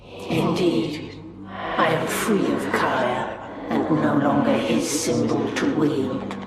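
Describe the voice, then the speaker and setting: An actor playing a mystic male elf prophet who speaks gravely. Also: a little high. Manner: grave, slow. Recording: echoey hall, from far off, loud music.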